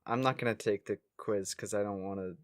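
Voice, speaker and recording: A man's voice: lowish voice, brisk, no echo, clean studio sound. A young man co-hosting a podcast in casual comedic chat, jokey and self-deprecating, sometimes reading questions aloud. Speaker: podcast co-host.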